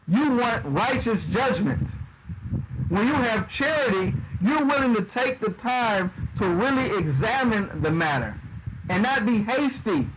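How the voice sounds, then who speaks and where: High-voiced man teaching the Bible. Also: noisy background. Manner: conversational preaching, reads scripture aloud and riffs on it; uses Southern-style 'y'all', stumbles and repeats words. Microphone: livestream microphone.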